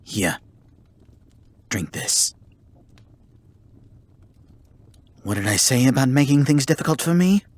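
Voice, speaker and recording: Guy doing a snooty male kitsune for anime asmr roleplay, husky breathy voice, super expressive, formal and exasperated but secretly caring. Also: mid-range voice, normal speed, natural voice. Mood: bossy, grudging care. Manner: formal, theatrical, exasperated scolding. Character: haughty, tsundere male kitsune forest spirit, grudgingly caring. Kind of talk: ASMR roleplay acting, addressing the listener.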